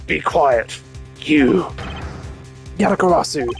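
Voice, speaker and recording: A man's voice: fairly high pitch, slow, gravelly, soft background music, pitched above the performer's own voice. A man voicing a proud, stern young male prosecutor in a video game, formal and dramatic. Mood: stern defiance.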